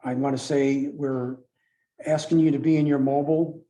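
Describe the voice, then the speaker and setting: Guy explaining rules at a club talk, soft mellow voice, bit halting, repeats himself. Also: mid-range voice, level tone, poor audio. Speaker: club presenter explaining radio contest rules. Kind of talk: informal explanatory presentation with slides. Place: club meeting room with a few people present.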